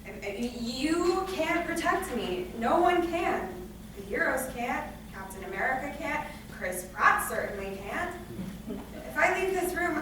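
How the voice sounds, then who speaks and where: Echoey, anxious young woman played by an actress onstage. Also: high-pitched. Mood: fearful, insistent. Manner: emphatic, rambling, catastrophizing. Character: anxious young woman, dramatic, stubborn, afraid to leave her room. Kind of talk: staged reading of a stage play. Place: live staged reading in a reverberant room. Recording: echoey room from far off, noisy.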